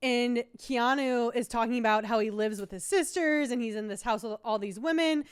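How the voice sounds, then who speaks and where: Female co-host for casual recap show: crisp, slightly high voice, talkative, dry teasing. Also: moderate pace. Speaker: podcast co-host. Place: studio.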